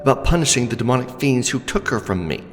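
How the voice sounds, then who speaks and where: A man's voice: mid-range voice, lively intonation, fast talker, lightly acted. A man playing a proud young necromancer in a fantasy ASMR roleplay, speaking formally and earnestly. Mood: indignant, defiant. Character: proud young necromancer, defiant orphan of royal blood. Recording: long hall reverb, loud music underneath.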